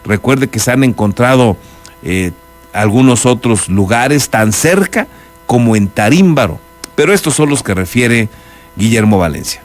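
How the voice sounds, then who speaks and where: A man's voice: mid-range voice, animated, a little slow. A man with a Mexican accent anchoring a news programme, mixing reports with spoken commentary. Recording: music bed behind the voice. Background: background music.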